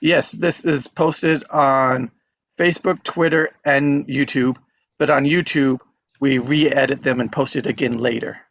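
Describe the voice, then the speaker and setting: A man's voice: medium pitch, fairly even intonation, unhurried, gravelly, fairly bright tone. A man hosting an online script reading group, chatting casually.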